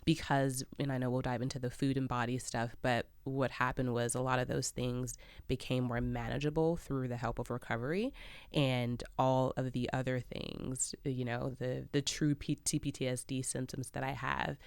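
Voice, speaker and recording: A woman's voice: extremely low pitch, steady tone, moderate pace, vocal fry, clear, resonant tone, no echo. A woman from Chicago, a podcast guest speaking openly and reflectively, often laughing lightly through difficult subjects. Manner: reflective, open, laughs off hard topics. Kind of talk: candid personal interview talk.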